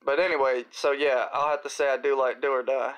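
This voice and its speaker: A man with a slightly raspy voice, hosting a casual live Q&A, reading viewer comments and answering in a laid-back, rambling way with slang. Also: mid-range voice, level tone, a little fast, rough recording.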